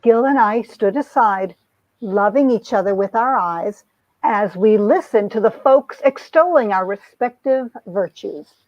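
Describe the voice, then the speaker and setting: A woman's voice: medium pitch, lively intonation, measured pace, darkish tone, no room sound, lo-fi sound, natural voice. A woman playing a lovestruck young society heroine who narrates her romance with earnest melodrama, in an amateur comic reading. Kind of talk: dramatic reading of a 1950s romance comic, first-person narration.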